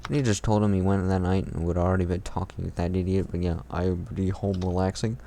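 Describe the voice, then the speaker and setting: A man's voice: low-pitched, little pitch movement, fast talker, noisy background. A man reading fan fiction aloud in a mocking, irreverent way, tossing in crude comments of his own.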